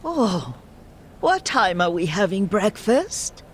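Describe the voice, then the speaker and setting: A woman's voice: mid-range voice, sing-song, takes it slowly, slight background noise. An actress playing a cheerful, confused elderly woman in a care home, talking in a vague, doddering way. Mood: pleasantly muddled. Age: older. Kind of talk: English game dub acting.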